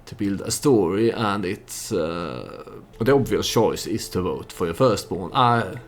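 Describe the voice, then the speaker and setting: A man giving casual storytelling commentary over a strategy game, hesitant and halting as he thinks aloud. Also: low voice, expressive. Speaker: let's play YouTuber narrating his strategy game.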